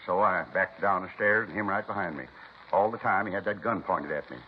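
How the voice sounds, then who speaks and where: An actor playing an earnest, simple, good-natured butcher in a 1950s radio police drama, plain-spoken with folksy, ungrammatical speech. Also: male voice, low voice, fairly quick, close to an everyday voice. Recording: muffled, hissy 1950s radio recording.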